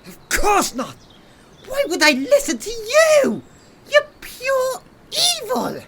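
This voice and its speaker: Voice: very high voice, huge pitch swings, takes it slowly, roomy sound, long reverb tail, heavy background noise, full character voice. A performer voicing a bitter, lonely young male exile, resentful and prone to defiant outbursts, in an audio drama. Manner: resentful, swinging to loud defiant shouts. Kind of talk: amateur audio drama acting.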